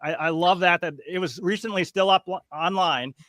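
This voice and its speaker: A man's voice: high voice, normal speed, pushed and bright, dry recording, clean studio sound. A man talking as a guest on a podcast panel, emphatic and scoffing, hammering home his points. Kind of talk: casual panel chat.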